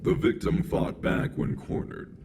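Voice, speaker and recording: A man voicing a bloodthirsty, curious robot hunter in a video game, speaking formally and deliberately through robotic processing. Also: darkish tone, a little hiss or hum. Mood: coldly analytical. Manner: deliberate, formal, menacingly literal. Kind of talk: video game character dialogue.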